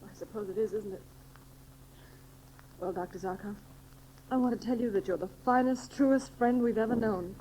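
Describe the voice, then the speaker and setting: An actress playing a tender, brave young heroine with earnest, melodramatic delivery in a 1930s radio serial. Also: female voice, medium pitch, normal speed, pauses often, close to an everyday voice. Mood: distressed, tender. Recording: noisy 1930s radio recording.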